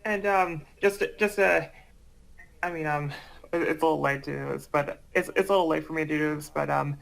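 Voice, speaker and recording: Hesitant man with a creaky, bright voice. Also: fairly high pitch. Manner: hesitant, halting, repeats words. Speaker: guest, amateur voice actor. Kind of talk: casual chat.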